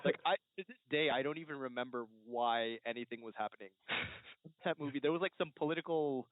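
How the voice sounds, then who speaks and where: Male panelist, medium pitch, casual and halting delivery, close dry sound with narrow phone bandwidth. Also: no room sound. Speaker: podcast panelist. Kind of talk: casual chat. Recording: muffled narrow-band phone-like audio.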